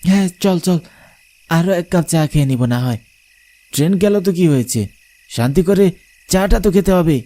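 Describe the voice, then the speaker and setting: Man playing a mellow, uneasy young buddy. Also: a little high, lively intonation, moderate pace, long pauses, close to an everyday voice. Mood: easygoing. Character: uneasy young male friend, chatty, wryly exaggerating. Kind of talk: cartoon horror dialogue.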